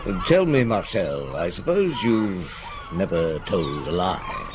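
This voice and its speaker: An actor playing a shrewd, calm police chief inspector in a radio drama, with a thoughtful, probing manner. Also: male voice, deep voice, background noise, full character voice. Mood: measured, easy. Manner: probing, thoughtful, asks short measured questions. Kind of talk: radio drama acting with first-person narration.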